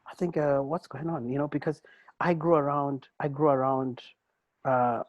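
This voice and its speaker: A man co-hosting a casual podcast chat, rambling and halting with many restarts and "bro"s. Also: mid-range voice, steady tone, dry recording, dull audio. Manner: rambling and halting, many restarts, peppered with "bro" and "you know what I'm saying". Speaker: co-host.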